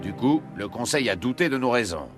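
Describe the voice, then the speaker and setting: An actor playing an indignant human ambassador in a French video game, forceful and official. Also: male voice, medium pitch, animated, a little fast, over music, close to an everyday voice. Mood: stern.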